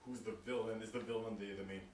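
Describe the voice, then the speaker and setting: A man's voice: on the low side, steady tone, quick pace, darkish tone. A man hosting a casual discussion, prompting the others with questions. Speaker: podcast host moderating pitches. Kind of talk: casual chat. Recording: echoey room with crowd noise.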